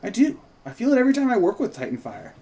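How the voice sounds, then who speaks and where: A man's voice: sits high, wildly swooping pitch, fast talker, gravelly, dark tone, voice pushed up, heavily acted. A man reading the part of a devout teenage girl who works magic in a fantasy script, earnest and wistful.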